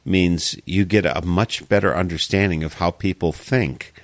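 Male radio host, low-pitched and earnest, dry studio sound. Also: steady tone, no room sound. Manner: earnest, measured appeals to listeners. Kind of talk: radio interview.

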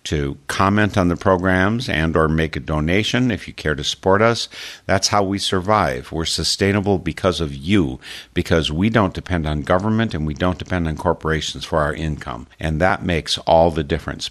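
A man hosting a community radio interview show, introducing his guest and making appeals to listeners in a steady announcer style. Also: sits low.

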